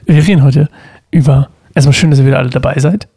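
A young man with a cold hosts a casual chat, his nose stuffed up as he jokes and wanders off mid-sentence. His voice has a gravelly fry. The sound is close and dry. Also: medium pitch, fast talker, warm tone, no room sound. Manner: joking, rambling, self-interrupting. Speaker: podcast co-host.

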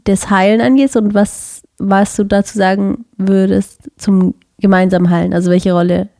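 Young woman, casual podcast co-host, mellow voice, mid pitch, laughs a lot. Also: little pitch movement, normal speed, gravelly. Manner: laughs often, gently probing. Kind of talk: casual couple podcast chat. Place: living room at home.

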